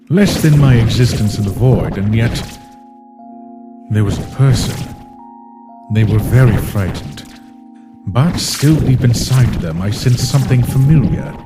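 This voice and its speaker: A man voicing a weary, brooding ancient being who narrates a comic dub in a solemn, measured way. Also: on the low side. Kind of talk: scripted first-person comic dub narration. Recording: voice under loud music.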